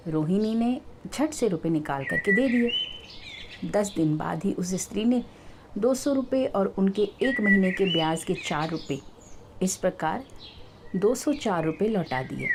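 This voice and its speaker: A woman's voice: mid-range voice, lively intonation, a little slow, background noise. A woman storyteller narrating a scripted tale.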